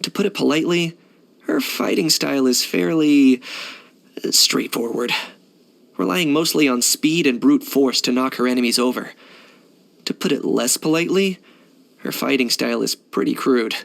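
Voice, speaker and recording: A cool young male mercenary from a video game, with a mid-range voice. He is reserved and deadpan, speaking in measured, understated monologue. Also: medium pitch, creaky. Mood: dry, matter-of-fact.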